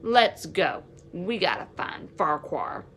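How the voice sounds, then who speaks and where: A woman's voice: lowish voice, very animated, slow, a bit bright, slight background noise, heavily acted. A woman voicing a bullying, suspicious boy who leads a gang in a storybook, with pointed, accusing delivery.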